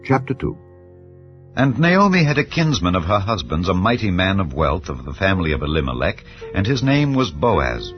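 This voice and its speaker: A man narrating a dramatized Bible reading in a solemn, measured storytelling manner. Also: low-pitched, sing-song. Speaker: scripture narrator. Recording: slightly dull, soft music behind.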